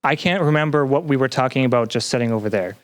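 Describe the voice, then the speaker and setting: A man chatting casually as a podcast guest, a little halting, with dry playful teasing. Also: mid-range voice. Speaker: podcast guest, student representative. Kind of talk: casual chat.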